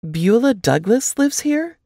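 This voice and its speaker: A woman voicing a frail but quietly resilient young woman in a novel reading, earnest and measured. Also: a little high, very animated, creaky, no echo, clean studio sound, voice pushed up, heavily acted. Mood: surprised.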